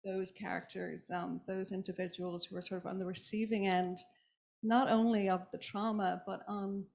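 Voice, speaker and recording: A woman from Ireland with an Irish accent, an author speaking thoughtfully on a panel, pausing often with ums and restarts. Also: mid-range voice, a little mellow. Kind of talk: reflective panel talk.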